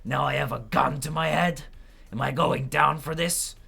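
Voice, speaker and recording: Man voicing a loud, bitter condemned female marshal. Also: fairly high pitch, steady tone, moderate pace, gravelly, husky, pitched above the performer's own voice, heavily acted. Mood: angry, accusing.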